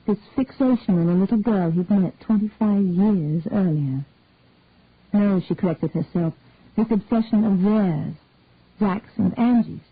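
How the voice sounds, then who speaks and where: A woman narrating a detective short story in a measured storytelling way. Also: mid-range voice, slightly rough. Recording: narrow phone-like dry sound.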